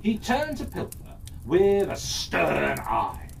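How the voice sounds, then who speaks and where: Fairytale narrator, dramatic and deliberate, frequent pauses, slightly distant mic with faint background noise. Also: mid-range voice, huge pitch swings, gravelly. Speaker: storyteller.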